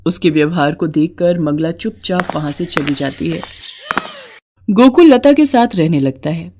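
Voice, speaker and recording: A woman's voice: lowish voice, expressive, measured pace, background noise. Woman narrating a scripted story.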